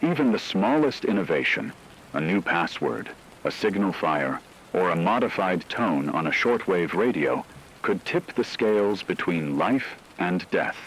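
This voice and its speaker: Male narrator for apocalyptic drama: deep register, grave measured read, light noise bed behind. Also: very animated, fairly bright tone, low-quality recording.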